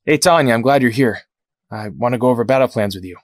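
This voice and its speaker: A man's voice: medium pitch, quick pace, no echo, natural voice. A mid-range male voice, dry and close, gives this young fighter his sincerity as an actor plays him. He is earnest and remorseful, in audio drama style. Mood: casual.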